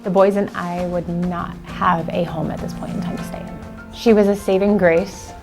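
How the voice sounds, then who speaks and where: A woman's voice: lowish voice, level tone, normal speed. A woman, a mother of two, giving a personal testimony as a client of a service. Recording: music bed under the voice.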